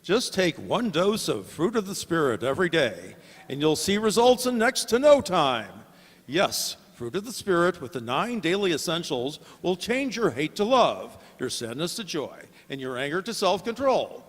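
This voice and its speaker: Man doing old-time ad announcer, highish voice, animated pitch, emphatic salesman delivery, hall reverb. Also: moderate pace. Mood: earnest, salesmanlike. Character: earnest old-time radio sponsor announcer. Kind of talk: mock radio commercial pitch.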